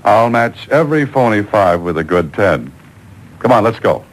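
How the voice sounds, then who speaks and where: An actor playing a cool, tough adventurer hero with a dry, wry manner in a 1940s-style radio drama. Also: male voice, lowish voice, sing-song, moderate pace. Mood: wry, relieved. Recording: home-taped old radio broadcast, faint noise.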